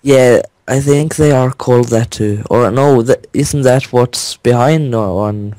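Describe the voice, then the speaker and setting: A man from Norway with a Norwegian accent, speaking English as a second language in a halting casual chat. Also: medium pitch, warm tone, dry recording. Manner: halting, searches for words. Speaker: guest builder.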